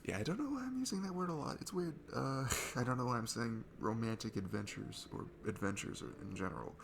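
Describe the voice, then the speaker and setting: A man's voice: mid-range voice, animated, fairly quick, gravelly, background noise. A young man playing a shy, nervous, sweet guy at a bar in an ASMR roleplay, talking in a hesitant, rambling, self-deprecating way. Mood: flustered. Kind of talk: ASMR roleplay, one-sided intimate conversation.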